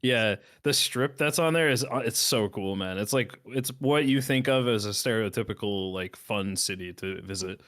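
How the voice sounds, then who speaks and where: Upbeat man with a smooth low voice. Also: moderate pace, hardly any pauses, fairly bright tone. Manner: upbeat, chatty and guarded. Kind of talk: casual podcast chat.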